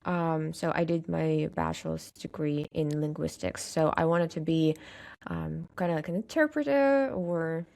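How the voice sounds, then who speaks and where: Young russian woman on a podcast, kinda low voice, lots of ums and laughing. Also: unhurried, creaky, a little mellow. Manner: hesitant, many fillers, laughs often. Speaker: podcast guest. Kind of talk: casual interview chat.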